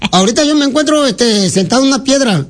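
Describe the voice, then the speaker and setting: A man's voice: extremely high pitch, lively intonation, fairly quick, slight background noise. A man voicing a lonely, silly male caller who rambles, teases and flirts on a radio prank call. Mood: teasing. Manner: rambling, teasing, crude jokes.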